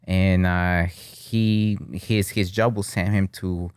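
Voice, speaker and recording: Guy in his 30s from peru, real low smooth voice, laid-back chatty interview guest, lots of "you know". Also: slow, no room sound, clean studio sound. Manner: relaxed and talkative, many "you know" and "right?", slips into Spanish. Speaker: guest, bartending business owner. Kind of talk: casual interview chat. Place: podcast studio with flags on the wall.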